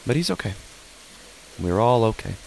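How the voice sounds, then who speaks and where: A man's voice: big pitch swings, normal speed, gravelly, a little mellow, a little hiss or hum, rough recording. A man reading scripted horror fiction as a first-person narrator. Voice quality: low-pitched. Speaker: podcast host and narrator.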